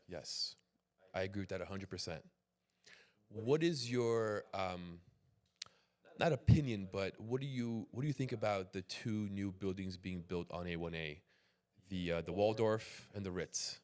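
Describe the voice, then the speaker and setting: Lowish-voiced man hosting an interview. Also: unhurried, darkish tone. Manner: agreeable, affirming. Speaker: podcast host, interviewer. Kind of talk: casual conversational interview.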